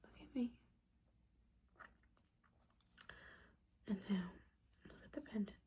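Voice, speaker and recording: A woman playing a gently judging, caring witch mentor in an ASMR roleplay, whispering soothingly and conversationally. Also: medium pitch, a little fast, close to an everyday voice. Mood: soothing, hypnotic. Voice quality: breathy whisper.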